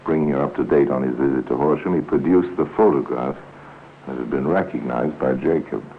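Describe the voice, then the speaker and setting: A man narrating a 1950s radio crime drama in a measured, solemn, dramatic way, as a grave storyteller. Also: rumbling low. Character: grave male storyteller of a police crime tale. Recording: tinny, noisy 1950s radio recording.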